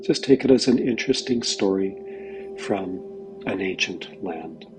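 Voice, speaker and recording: Man, low voice, very slow pacing, gentle guided meditation delivery, frequent mid-phrase pauses. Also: lively intonation. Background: background music.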